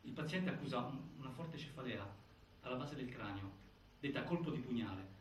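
An actor playing a grave narrator of a prison death inquiry, reciting findings with emphatic delivery and halting pauses in theatrical documentary style. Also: male voice, mid-range voice, lively intonation, lightly acted. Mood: grave, insistent. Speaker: stage actor narrating an investigation. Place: indoor stage, picked up from afar. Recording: distant, echoey, noisy theatre recording.

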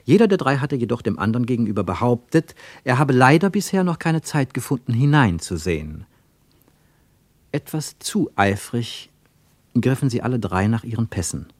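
A man narrating a literary radio play in a measured, deliberate storytelling way. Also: mid-range voice, very animated, no echo.

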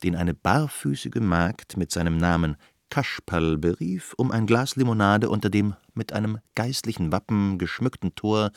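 A man's voice: on the low side, moderate pace, gravelly, no room sound, studio quality. An actor playing a cultured man recounting a country outing in composed, articulate radio drama storytelling.

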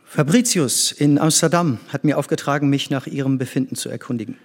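An actor playing an earnest middle-aged scientist and former pupil in a stage drama, intense and theatrical. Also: male voice, mid-range voice, fairly quick, natural voice. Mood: formal, reserved.

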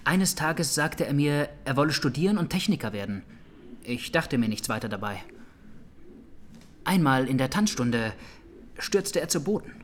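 An actor playing a reserved young trial witness in a radio drama, answering tersely and matter-of-factly. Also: male voice, medium pitch, sing-song, moderate pace, long pauses, a little hiss or hum, lightly acted. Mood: reserved, factual. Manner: terse, careful, matter-of-fact. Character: Armenian consulate secretary of twenty-three, reserved trial witness.

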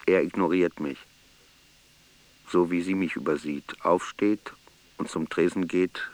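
Radio drama: ailing archaeologist reading his notebooks, deep voice, slow brooding delivery. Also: fairly even intonation, a little mellow, poor audio. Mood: detached, observant. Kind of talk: literary radio play narration.

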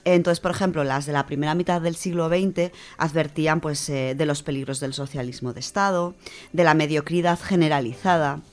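Low-voiced woman explaining on radio. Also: fairly quick. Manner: thoughtful, with frequent hesitations and restarts. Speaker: radio host presenting a book segment.

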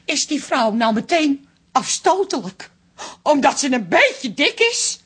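Insecure wife, middle-aged, high pitch, very lively intonation, pointed, touchy, radio drama. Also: female voice, unhurried, exaggerated voice. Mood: hurt, offended. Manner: pointed, indirect, easily offended.

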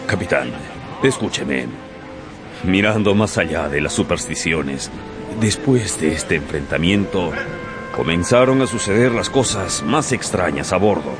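Haunted, low-voiced whaling captain. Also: male voice, animated, a little slow. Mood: uneasy. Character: haunted, superstitious whaling ship captain, awed and warning. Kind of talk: radio drama acting. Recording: radio drama with music behind.

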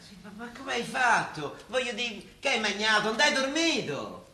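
An excitable Roman working man in a radio drama. His high voice swings widely up and down, rough in language. It is recorded in an echoey space. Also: moderate pace, full character voice. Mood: flustered, insistent. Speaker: actor. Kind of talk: radio play acting. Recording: echoey room, clear background noise.

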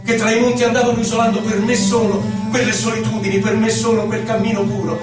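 A man's voice: high voice, monotone, fast talker. A man giving a solemn, theatrical poetry recital for radio, stretching out his phrases dramatically. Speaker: poetry performer. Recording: echoey distant recital under loud music. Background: loud music.

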